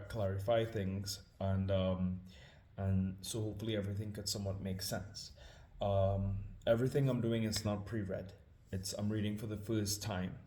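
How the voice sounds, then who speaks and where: Hesitant Trinidadian man, low voice. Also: warm tone, roomy sound. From Trinidad and Tobago. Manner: hesitant, many ums, humble and apologetic. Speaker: scripture reader. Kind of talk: casual spoken introduction.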